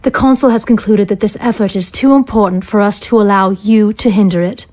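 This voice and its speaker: A cold, smug female scientist in command, played by an actress in an audio drama. Her voice sits at a middling pitch, and her wording is formal, measured and sardonic. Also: fairly even intonation, a little mellow, lightly acted. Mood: coolly accusing.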